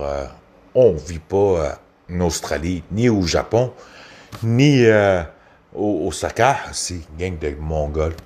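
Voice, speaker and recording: A man with a Québécois accent and a slightly low voice that swings widely in pitch. He rambles through a casual, profane rant, hesitating as he goes. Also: darkish tone. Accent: Québécois French. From Quebec.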